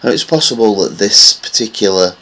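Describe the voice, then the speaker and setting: A man's voice: on the low side, animated, a little fast, a bit bright. A man giving casual, rambling commentary on silver price charts, with many fillers. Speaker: market analysis vlogger.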